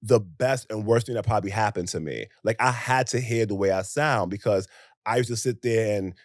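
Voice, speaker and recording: A young American man co-hosting a podcast in casual chat, talking in an animated, emphatic way with rhetorical questions. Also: lowish voice, fairly quick, no echo, clean studio sound. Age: young adult. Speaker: podcast co-host.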